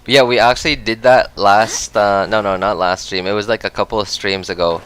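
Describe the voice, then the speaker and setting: A man's voice: lowish voice, steady tone, normal speed, fairly bright tone, clean tone. A man from the Philippines chatting casually on a game live stream, answering his chat and laughing often.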